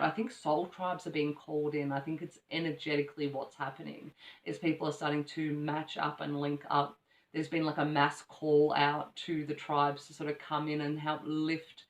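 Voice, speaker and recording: A woman giving a casual tarot reading, rambling with many ums and restarts, her voice slightly croaky from allergies. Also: sits low. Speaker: tarot reader. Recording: echoey room from far off the mic.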